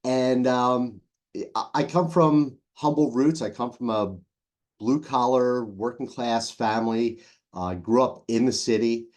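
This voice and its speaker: A man's voice: mid-range voice, unhurried. A man in his forties with a Philadelphia accent, a leadership coach telling his story as a podcast guest, loose and chatty with a few ums and restarts. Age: 40s. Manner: relaxed storytelling with fillers and restarts. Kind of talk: casual interview.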